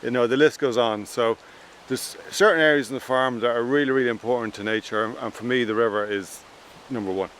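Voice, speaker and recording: A man in his forties with an Irish accent, a farmer talking to camera outdoors in a relaxed, plain-spoken way with frequent pauses. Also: mid-range voice. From Ireland. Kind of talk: documentary talk to camera. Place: outdoors on a farm.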